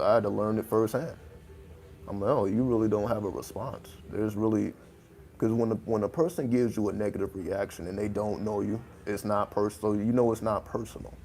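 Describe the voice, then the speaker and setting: A man with a deep voice talking in a laid-back, casual monologue, loose with slang and swearing. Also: gravelly, mellow, a little hiss or hum. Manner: laid-back, profane, streetwise slang, drawn-out phrasing. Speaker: channel host.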